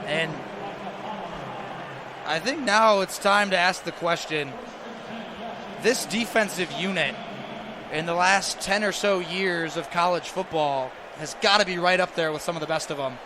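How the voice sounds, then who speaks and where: A man's voice: high-pitched, unhurried, long pauses. An American man doing live play-by-play radio commentary on a football game, describing the action in clipped phrases as it unfolds. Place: stadium broadcast position. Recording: noisy stadium broadcast with crowd roar.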